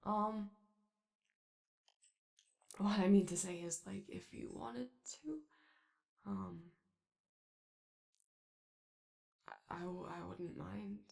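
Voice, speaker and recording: A woman's voice: medium pitch, takes it slowly, vocal fry, pauses often, a little mellow. A woman voicing an anxious, kind-hearted daycare robot in a hesitant, rambling, apologetic ASMR roleplay. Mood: shy, flustered. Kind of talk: ASMR character roleplay, soft conversational.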